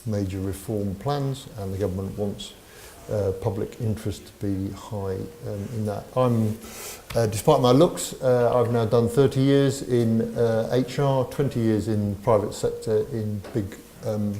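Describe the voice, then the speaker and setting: A man's voice: lowish voice, steady tone, measured pace, mellow, slight background noise. Wry man in his fifties, echoey. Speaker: panelist. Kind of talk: conference panel introduction.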